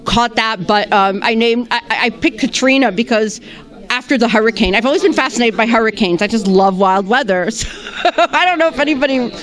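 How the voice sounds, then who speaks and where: Hesitant actress at a red carpet. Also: female voice, fairly high pitch, fairly quick, fairly bright tone. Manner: hesitant, many ums and restarts.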